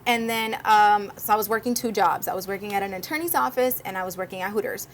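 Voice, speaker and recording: A woman's voice: mid-range voice, quick pace, slight background noise. A young woman from Cuba, a guest co-host, chatting casually and animatedly, often laughing. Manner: animated, laughs often, acts out voices in stories. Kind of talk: casual interview chat.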